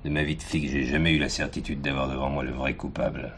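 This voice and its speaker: Very deep-voiced, gruff police commissioner. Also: male voice, flat delivery, quick pace, a bit bright, full character voice. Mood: hard, accusing. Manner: blunt, sardonic, bullying. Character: gruff, hard-nosed male police commissioner interrogating suspects. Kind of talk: French radio crime drama acting. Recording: noisy old radio drama recording.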